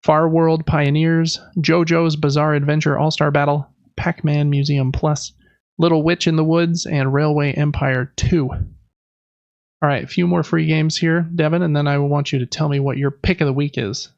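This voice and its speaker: A man's voice: fairly high pitch, little pitch movement, normal speed, a little mellow, dry recording, muffled recording. A man hosting a casual gaming podcast, reading through news lists in a chatty, informal way with personal asides. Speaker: podcast host.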